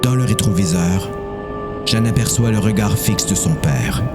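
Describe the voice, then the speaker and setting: A man's voice: lowish voice, moderate pace. A man with a Québécois accent giving a dramatic scripted narration of a story. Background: music.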